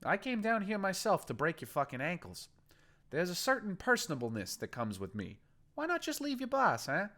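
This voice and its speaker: A man's voice: high-pitched, huge pitch swings, normal speed, voice pushed up, full character voice. A man reading a story aloud, voicing a furious, profane male gang boss who threatens and then coaxes in a raised, cursing voice. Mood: coaxing. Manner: swearing, menacing, raised and shouting. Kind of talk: audiobook character voice.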